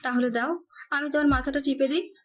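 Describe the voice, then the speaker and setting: Woman playing clingy young girl, very high pitch, pleading, coaxing, lovestruck, audio love story. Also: fairly even intonation, a little fast, dull audio, faint music behind, natural voice. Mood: lovesick pleading.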